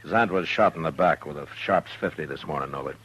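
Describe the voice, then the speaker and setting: Very deep-voiced stern western marshal. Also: male voice, brisk, a bit bright, breathy edge, heavily acted. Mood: probing, calm. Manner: terse, firm, authoritative. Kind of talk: 1950s western radio drama acting. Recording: noisy old-time radio recording.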